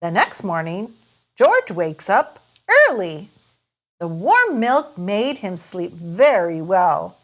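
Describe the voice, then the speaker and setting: A woman's voice: medium pitch, sing-song, slow pace, a bit bright. A woman hosting a children's read-aloud, narrating expressively in a sing-song, careful way for small listeners. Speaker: storyteller and host.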